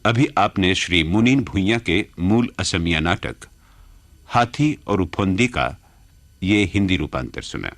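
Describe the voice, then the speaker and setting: Deep-voiced, measured male radio announcer. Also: a bit bright, dry recording. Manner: measured, deliberate. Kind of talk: formal scripted credits announcement.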